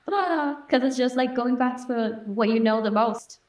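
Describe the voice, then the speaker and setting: A woman raised in Argentina, a native Spanish speaker, talking as a guest in a casual interview, reflective and unhurried, thinking aloud. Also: a little high, a little mellow. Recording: roomy echoey room.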